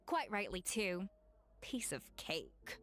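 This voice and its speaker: A woman's voice: medium pitch, sing-song, takes it slowly, loud music behind the voice. A woman voicing a proud, exacting young master chef in a video game, speaking with poised, articulate, instructive confidence. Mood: smug.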